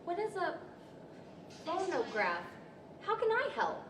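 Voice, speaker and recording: A woman plays an eager, proper little girl in a live radio play. She is high-voiced and very animated, full of wide-eyed exclamations and questions. The hall echoes around her. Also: takes it slowly, full character voice. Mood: puzzled. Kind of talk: 1940s radio-theater acting. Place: large library hall, live stage performance. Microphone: stand microphone. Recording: echoey hall, distant mic, faint noise.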